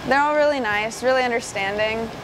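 A young adult woman studying welding, speaking in a friendly, relaxed way. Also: fairly high pitch, brisk, rough recording. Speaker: welding student. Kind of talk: promotional interview. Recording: music bed underneath.

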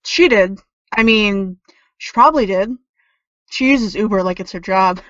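A woman's voice: medium pitch, unhurried, fairly bright tone, dry recording, muffled recording, close to an everyday voice. A woman playing a flippant, evasive teenage tech girl in an audio drama, with a glib, snarky delivery. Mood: evasive, awkward.

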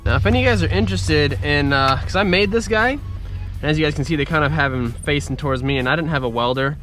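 Congested, excited young man. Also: on the high side, fast talker, soft background music. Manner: excited, chatty, addresses viewers directly. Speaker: car vlogger. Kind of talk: casual point-of-view vlog. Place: outdoors in a driveway, working in a car. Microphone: head-mounted action camera.

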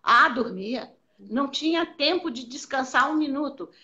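Older woman with a bright, animated voice. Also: high voice, normal speed, highs cut off. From Brazil. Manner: animated storytelling, repeats words for emphasis. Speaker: belly dance teacher, interviewee. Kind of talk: casual interview answers.

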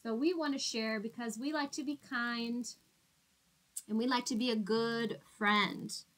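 A woman's voice: on the high side, steady tone, a little slow, fairly bright tone. A woman in her twenties, a teacher reading a storybook and talking simply to small children. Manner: simple explanatory phrasing with questions to children. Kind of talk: storybook read-aloud and talk to small children. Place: small plain room indoors.

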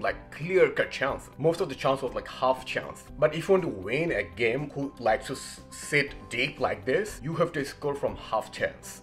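A man's voice: medium pitch, fairly bright tone. Man analysing football, music behind. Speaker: football fan channel host. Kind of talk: match analysis to camera. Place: small indoor room by a window.

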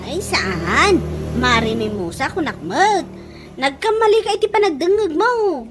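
A woman's voice: high voice, big pitch swings, measured pace, over music. A woman playing a nagging, exasperated wife in an Ilocano radio comedy drama, with exclamatory delivery and an Ilocano accent. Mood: defensive. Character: scolding, exasperated wife and gossiping neighbour woman.

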